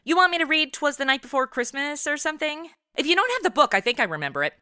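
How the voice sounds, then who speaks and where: A woman's voice: high-pitched, big pitch swings, fast talker, bright, forceful tone, no echo, clean studio sound, exaggerated voice. A woman with an American accent playing a brash, flippant superheroine, sarcastic and irreverent, in a comedy audio drama.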